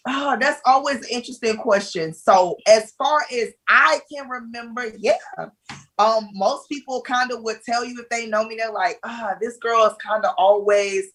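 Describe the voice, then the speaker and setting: A woman's voice: on the high side, normal speed, fairly bright tone, poor audio. Confident Southern woman guest. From Southern United States. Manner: confident, frank, laid-back. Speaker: guest, activist and speaker. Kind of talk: casual interview. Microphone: video call.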